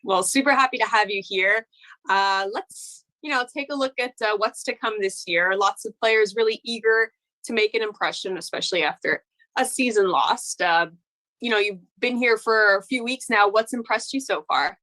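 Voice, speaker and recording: A woman's voice: mid-range voice, normal speed, bright, forceful tone, rough recording. A woman conducting a prepared sports interview.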